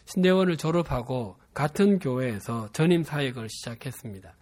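A man in his fifties from Busan with a Gyeongsang dialect accent, preaching a sermon and telling a personal story in a measured, earnest way. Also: medium pitch, animated, a little mellow. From Busan, South Korea. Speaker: pastor.

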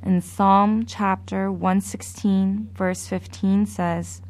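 A woman preaching a sermon in a slow, measured, deliberate way. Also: medium pitch, flat delivery, darkish tone, low-quality recording.

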